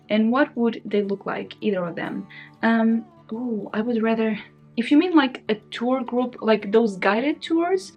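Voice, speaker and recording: A woman's voice: mid-range voice, darkish tone. A young woman, chatting casually to camera as a book vlogger, musing indecisively and laughing often. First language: Portuguese. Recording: faint guitar music behind.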